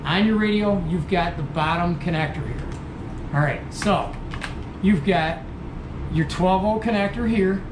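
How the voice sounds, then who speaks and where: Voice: mid-range voice, unhurried. Folksy tutorial host in an echoey, noisy room. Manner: folksy, repeats points for beginners. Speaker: host demonstrating radio gear. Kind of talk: casual hands-on tutorial. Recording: roomy echo with clear background noise.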